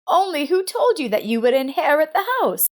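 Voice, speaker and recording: A woman's voice: sits high, big pitch swings, normal speed, pitched above the performer's own voice. A woman voicing an angry, wounded, proud elderly grandmother in a narrated family drama story. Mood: bitter anger. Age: older.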